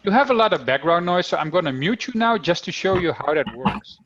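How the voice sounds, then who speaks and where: A man's voice: sits high, lively intonation, fairly quick, a bit bright, dull audio, slight background noise. Upbeat Dutch man teaching kids online. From Netherlands. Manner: upbeat, chatty, talks to kids directly. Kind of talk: live online class to children. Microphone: video call.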